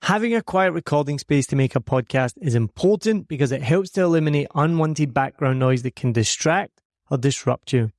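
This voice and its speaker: A man's voice: on the high side, expressive, normal speed, darkish tone, no room sound. Scottish man, upbeat podcast host. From Scotland. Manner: upbeat, promotional, earnest. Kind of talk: scripted podcast monologue. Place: home recording studio.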